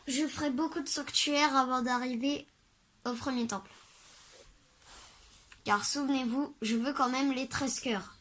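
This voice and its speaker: A woman with a cold, chatting casually and hesitantly as she plays through a video game, with lots of ums and restarts. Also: on the high side. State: has a cold. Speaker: let's play host. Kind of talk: casual gameplay commentary. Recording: game music loud behind the voice.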